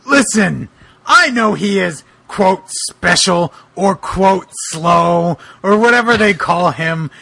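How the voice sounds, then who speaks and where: A man voicing an earnest, protective boogeyman in a dramatic fan fiction reading, with a husky, gravelly voice and deliberate, melodramatic delivery. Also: high-pitched, lively intonation, pushed and bright, no room sound, full character voice. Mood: grave, accusing. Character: the Boogeyman, earnest protective supernatural male avenger.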